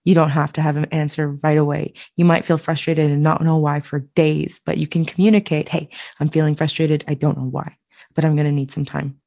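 A woman's voice: low voice, little pitch movement, fairly quick, gravelly, mellow. A woman podcast host whose first language was Japanese, talking reflectively in a casual discussion. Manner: reflective, thoughtful, with frequent "like" and "I think".

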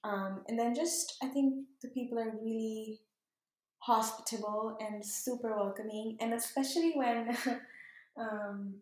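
A woman's voice: a little high, a little slow. Chatty young woman in an echoey room. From Pakistan. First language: Urdu. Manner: relaxed and chatty, many ums and likes, light laughs. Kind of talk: casual explanation, personal talk.

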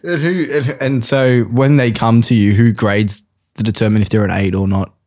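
A man's voice: medium pitch, steady tone, normal speed, a little mellow. A man asking questions as a podcast interviewer in a casual, easygoing and straight-faced way.

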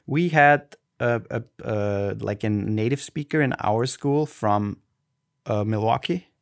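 Austrian man, halting dry-room chat. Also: fairly low pitch, gravelly, dry recording. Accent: Austrian German. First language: German. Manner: halting, restarts, searching for words. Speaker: podcast co-host. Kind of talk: casual chat.

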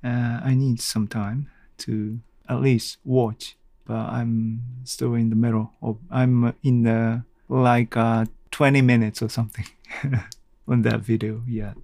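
A man talks casually and alone as a podcast host, taking his time over each phrase. His voice has a creaky, gravelly edge and is recorded dry, with very little room sound. He is hesitant, often searching for the next word. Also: medium pitch, flat delivery, darkish tone, no room sound. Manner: halting, hesitant, searching for words. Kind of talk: casual solo audio blog.

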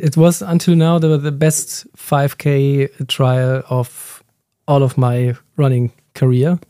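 A man's voice: mid-range voice, level tone, slow pace, dark tone, no echo. A man hosting a podcast, asking questions in a chatty, hesitant way. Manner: chatty, hesitant, many fillers and restarts. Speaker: podcast host. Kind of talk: casual interview questions.

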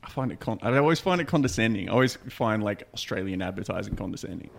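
A man with a fairly low voice and plenty of gravelly fry in it. He chats casually as a podcast host, blunt and free with swearing. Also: expressive, fast talker, slight background noise. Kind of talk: casual banter chat.

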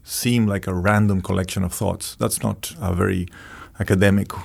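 Gravelly, husky Argentine man explaining. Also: deep voice, steady tone, moderate pace. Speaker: economics professor, interview guest. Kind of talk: explanatory interview talk.

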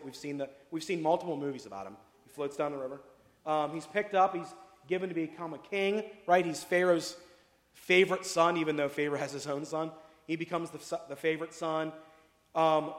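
A pastor preaching a casual sermon, chatty and jokey, full of asides and questions to the congregation. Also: male voice, a little high. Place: church sanctuary. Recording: echoey hall with long reverb.

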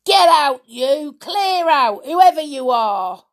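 A woman voicing a scared, uneducated gruff man in a storybook, blustering and exclaiming in colloquial speech. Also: on the low side, moderate pace, lightly acted. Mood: angry shouting.

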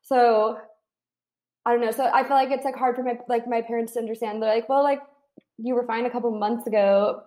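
A woman's voice: fairly high pitch, steady tone, fairly quick, dark tone. A woman in her twenties talking candidly in casual chat, with many "like" fillers. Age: 20s.

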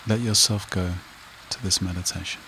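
A man leading a guided meditation and hypnosis in a soothing, repetitive manner with short phrases and long pauses. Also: sits low, a little hiss or hum, poor audio. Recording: rain and running water behind voice. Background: steady rain and stream sounds.

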